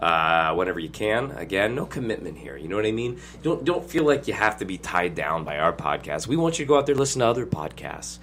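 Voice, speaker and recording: Young male podcast host, hoarse today, low-pitched, rambling and jokey; faint hum behind. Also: sing-song, fast talker, hardly any pauses, a bit bright. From Ohio, USA. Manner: rambling, jokey, lots of fillers. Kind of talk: casual podcast chat.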